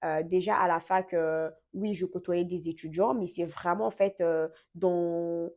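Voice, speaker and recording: Chatty young woman, warm mellow voice. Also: fairly low pitch, fairly even intonation, measured pace. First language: not French. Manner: chatty, full of fillers and self-corrections. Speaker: vlogger. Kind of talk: casual storytelling to camera.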